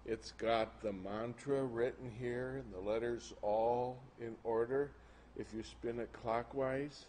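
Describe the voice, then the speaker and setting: Guy preaching live, kinda slow, folksy wording and emphatic, bit of background noise. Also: mid-range voice, steady tone, a little mellow. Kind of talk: informal sermon with show-and-tell to a congregation. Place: live talk before an audience. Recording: noisy live recording with room ambience.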